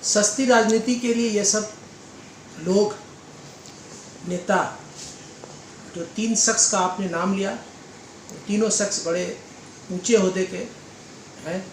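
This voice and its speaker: A man giving political interview answers in a deliberate, oratorical way, repeating phrases. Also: high-pitched. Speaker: political leader being interviewed. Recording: echoey room with faint background noise.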